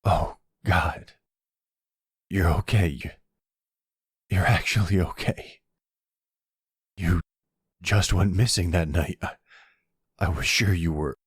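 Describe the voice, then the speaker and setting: A man acts out a devoted husband and new father in a mafia audio drama. The voice is low and husky, recorded dry. He speaks haltingly, full of feeling. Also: steady tone, no room sound, heavily acted. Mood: relieved. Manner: halting, emotional.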